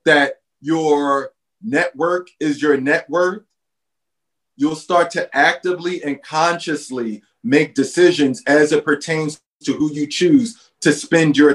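A man speaking earnestly in conversation, persuading with a run of rhetorical questions. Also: fairly high pitch. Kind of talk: casual conversation.

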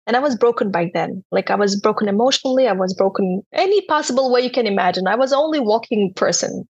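A woman's voice: medium pitch, lively intonation, fast, darkish tone, slightly rough, dry recording, muffled recording. A young woman from Turkmenistan, a podcast guest earnestly telling her personal story in casual talk.

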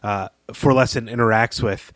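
A man's voice: medium pitch, steady tone, moderate pace, fairly bright tone, no echo. A man co-hosting a podcast, talking through literary analysis in a conversational way. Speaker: podcast host.

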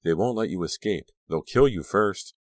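A man voicing a sinister small woman in a storybook who poses as a gentle aunt, firm, blunt and coaxing. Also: deep voice, animated, normal speed, no room sound, voice pushed up. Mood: gently menacing.

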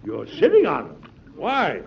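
An actor playing a principled, stubborn American president in an old-time radio drama, with an earnest, formal, declamatory manner. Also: male voice, high voice, wildly swooping pitch, unhurried, creaky, slightly rough, full character voice. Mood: flustered, indignant. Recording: muffled vintage radio recording.

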